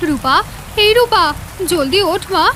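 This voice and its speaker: A woman's voice: extremely high pitch, expressive, a little slow, fairly bright tone, heavily acted. An actress voicing a worried, loving village mother who speaks in an anxious, pleading way in a cartoon. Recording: rain noise behind.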